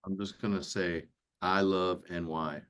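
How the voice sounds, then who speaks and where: A man talking casually as a producer on a panel, animated and acting out his own remarks as he tells stories. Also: deep voice, a little slow, clear, resonant tone. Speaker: audiobook producer and interview guest.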